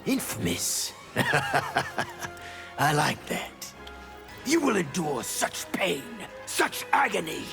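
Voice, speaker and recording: An actor playing a sadistic, bloodthirsty male warrior villain in a video game, gravelly, gloating and savage. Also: on the high side, huge pitch swings, takes it slowly, pauses often, music in the background, full character voice. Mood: gloating, menacing.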